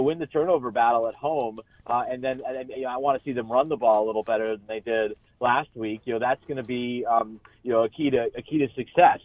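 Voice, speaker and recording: A man's voice: medium pitch, no echo. Man on a muffled, band-limited call-in line. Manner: halting, repeats words, frequent "you know". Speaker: sports journalist, radio guest. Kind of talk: sports analysis in a live radio interview. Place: remote call into a radio show.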